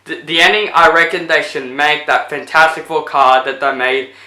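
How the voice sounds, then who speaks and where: A young man talks through a movie review in a loose, rambling way, stacking fillers as he retells scenes. His voice is bright and sits a little high, and it sounds worn out. The room around him is echoey. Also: steady tone, moderate pace. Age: young adult. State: voice getting tired. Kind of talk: casual video review. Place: indoors in front of a plain blue backdrop.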